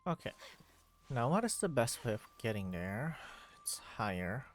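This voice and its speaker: A man's voice: medium pitch, sing-song, normal speed, a little hiss or hum. A man streaming a video game, giving casual commentary and thinking aloud as he plays.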